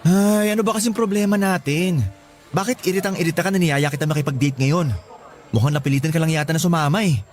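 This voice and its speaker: A man acting an earnest, expressive young student in love with his girlfriend in a Tagalog radio drama. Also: on the high side, fast, close to an everyday voice. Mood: hurt, pleading. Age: young adult. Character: smitten, earnest young student suitor, pleading boyfriend.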